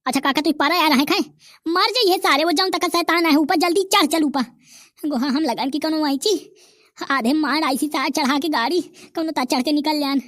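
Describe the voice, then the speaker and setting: High-pitched bossy village type in a cartoon, bundeli accent, dry close sound, a woman doing the voice. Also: normal speed, a bit bright, dry recording. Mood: brash. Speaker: cartoon character voice. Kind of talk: rustic village comedy cartoon dialogue.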